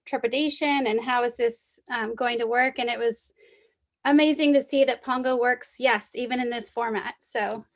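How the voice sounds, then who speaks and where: A woman with a fairly high voice speaks over a video call at a poetry reading. She is a mentor sharing youth writing. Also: fairly even intonation, moderate pace. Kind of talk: introducing and reading a poem aloud.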